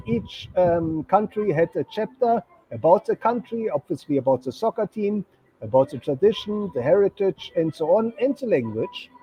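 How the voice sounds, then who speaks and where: A man's voice: sits high, husky. A man from Germany with a German accent, a guest explaining his work in a conversational interview and often checking in with "right?". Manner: explanatory, frequent "right?" tags. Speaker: guest, branding consultant. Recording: dull lo-fi sound with faint noise.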